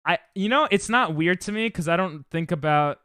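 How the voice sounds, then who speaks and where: A young New York man chats loosely while streaming a game, joking around and getting worked up over the play. His voice sits a bit high and comes through smooth and clear. The recording is close and completely dry. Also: moderate pace, dry recording. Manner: joking, excitable, trails off mid-sentence. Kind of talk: casual gaming stream chat.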